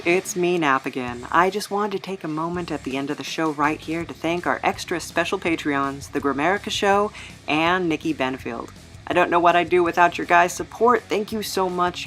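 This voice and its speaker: A woman's voice: on the low side, normal speed, fairly bright tone, faint music behind. A woman hosting a podcast, talking casually as an interviewer. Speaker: podcast host.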